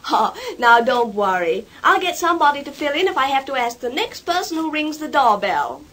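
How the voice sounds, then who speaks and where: Harried young fiancée in old radio soap: high voice, bossy, exclamatory, American accent. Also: female voice, very animated, a little fast, fairly bright tone. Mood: pleading, hurried. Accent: put-on American. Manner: fretful, exclamatory. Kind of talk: 1940s radio soap acting.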